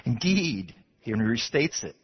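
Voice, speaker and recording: A man from Texas delivers a sermon to his church with lively swings of pitch that rise to emphatic preaching. His voice is a bit husky and sits a little high. The recording sounds dull and lo-fi. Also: takes it slowly. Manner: animated, rising to emphatic preaching. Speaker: pastor. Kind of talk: expository sermon.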